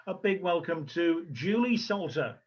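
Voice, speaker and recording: A man hosting an online event informally and haltingly, speaking over a video call. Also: fairly high pitch, animated. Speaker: host and organiser of a pageant. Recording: muffled lo-fi video call audio.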